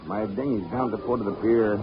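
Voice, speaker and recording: An actor playing a gruff, nosy old boatman with a folksy nautical drawl, in 1940s radio drama style. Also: on the low side, brisk, music in the background, natural voice. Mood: nosy, sly. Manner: folksy nautical drawl full of sailor slang. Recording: muffled, noisy 1940s radio broadcast.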